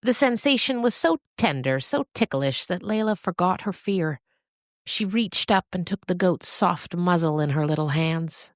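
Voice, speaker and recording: A woman narrating scripted fiction as a storyteller, with expressive, deliberate phrasing and dramatic pauses. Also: on the low side, no echo, clean studio sound.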